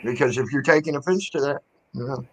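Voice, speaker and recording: A man's voice: mid-range voice, fast talker, fairly bright tone, low-quality recording. A man hosting a casual livestream chat, rambling and swearing freely as he airs strong opinions.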